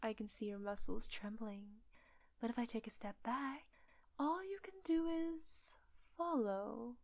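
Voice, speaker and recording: A seductive witch, acted by a woman in an intimate audio drama, pitched fairly high yet mellow. She is breathy and unhurried, teasing as she gives commands. The sound is close and very dry. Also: no echo. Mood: smug, controlling. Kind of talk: roleplay audio drama, intimate ASMR-like delivery.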